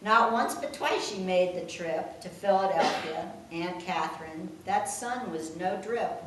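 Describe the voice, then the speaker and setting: A woman's voice: fairly low pitch, measured pace. An older woman reading a homemade rhyming poem aloud to a family gathering. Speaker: poem reader. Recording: echoey room, distant mic, faint noise.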